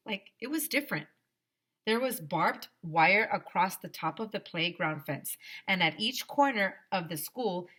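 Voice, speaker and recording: A woman reading a children's story aloud as a teacher, expressive and pausing for asides to her listeners. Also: fairly low pitch. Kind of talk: animated children's read-aloud.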